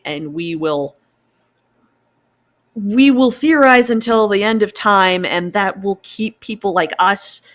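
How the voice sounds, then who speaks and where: A woman speaking as a guest fan writer in an enthusiastic discussion, at a measured pace. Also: mid-range voice.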